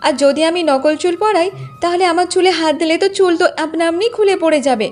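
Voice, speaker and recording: A woman's voice: very high-pitched, fast, faint music behind. A woman voicing a proud, defiant young village woman in a cartoon, answering back with firm taunts. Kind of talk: Bengali cartoon voice acting.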